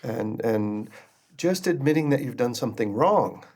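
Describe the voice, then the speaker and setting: A man with a gravelly, creaky edge to his voice speaks as a guest in a relaxed panel discussion. He explains his points patiently, often repeating words as he builds his sentences. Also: mid-range voice, measured pace. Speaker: podcast guest. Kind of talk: casual panel conversation.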